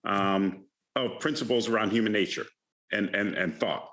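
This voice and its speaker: An American man in his fifties speaks in a lowish, forceful voice. He answers as an interview guest, thinking aloud and often pausing to search for the right words. His delivery is conversational and reflective. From the Bronx, New York City, United States. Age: 50s. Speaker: alumni guest, retired finance professional.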